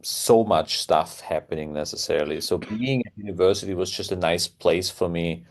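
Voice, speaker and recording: A man's voice: low-pitched, moderate pace. A man from Austria, a guitarist guest, telling his story in a casual interview with many restarts and phrasing. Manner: hesitant, many restarts, non-native phrasing.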